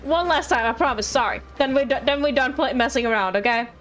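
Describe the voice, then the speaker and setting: A woman's voice: high-pitched, quick pace, a bit bright. A woman streamer giving casual game commentary in a playful, pleading and apologetic way. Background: game music.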